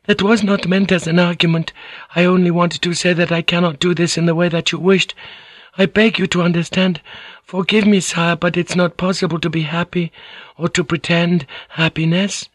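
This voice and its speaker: A man's voice: sits high, little pitch movement, moderate pace, dry recording, voice pushed up. A man voicing an abject, deferential young widowed noblewoman who pleads submissively in an audiobook. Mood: pleading.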